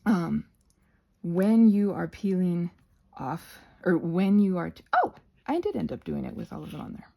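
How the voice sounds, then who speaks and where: A woman with a fairly low, mellow voice and a noticeable creak talks through a hands-on craft lesson. She rambles casually, breaking off her own instructions for playful little asides. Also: huge pitch swings, measured pace. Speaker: craft tutorial presenter.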